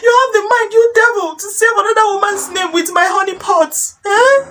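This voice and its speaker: A woman acting out a furious, jealous wife who scolds her cheating husband in a shrill, accusing rant full of repeated questions and insults. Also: a little fast, fairly bright tone, slight background noise. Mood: wailing, indignant.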